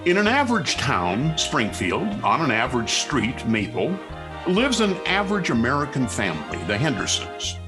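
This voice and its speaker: A man's voice: mid-range voice, wildly swooping pitch, normal speed. A man announcing and narrating a 1940s radio show in a formal, theatrical way. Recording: 1940s radio recording with music.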